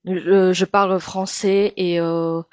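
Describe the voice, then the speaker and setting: A young woman from Taiwan, Mandarin her first language, speaking French with a Taiwanese accent as an interview guest, pausing often and filling gaps with hesitations. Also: lowish voice, little pitch movement, clear, resonant tone, no room sound. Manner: hesitant, many pauses and fillers. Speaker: guest, actress and singer. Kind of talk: casual interview chat.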